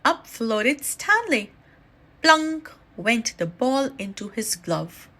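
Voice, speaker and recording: A woman reading a children's story aloud in an animated, deliberate storytelling manner. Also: on the high side, slight background noise. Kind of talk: expressive read-aloud storytime narration.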